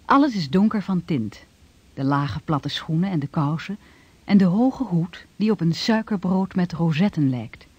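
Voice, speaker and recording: A woman's voice: fairly low pitch, expressive, a little slow, a little mellow, breathy edge, no room sound. A woman reading scripted narration for a radio drama, in a dry, slightly dull recording.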